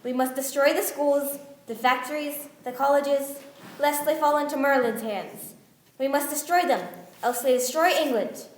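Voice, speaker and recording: A woman's voice: sits high, normal speed, slight background noise, natural voice. A woman playing a proud, embattled male Yankee inventor in a radio play, earnest and dramatic. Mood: grim resolve. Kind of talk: stage-style radio play acting. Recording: echoey room, faint music behind.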